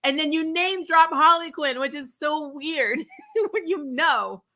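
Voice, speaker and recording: Animated-sounding woman with a bright, high voice. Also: measured pace. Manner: sarcastic, incredulous, blunt and sweary; laughs often while talking. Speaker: host of a TV superhero recap show. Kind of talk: casual, opinionated fan review chat.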